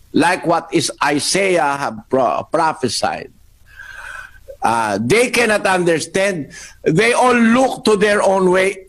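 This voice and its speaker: A man with a Filipino accent answering a Bible question in a sermon, slow and emphatic, repeating his key phrases. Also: high voice. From Philippines.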